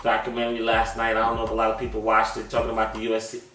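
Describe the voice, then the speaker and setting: A man co-hosting a sports podcast, talking in a relaxed, knowledgeable way that often trails off. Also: on the low side, racing. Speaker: podcast co-host. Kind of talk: casual sports talk. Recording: echoey room, far from mic, faint music.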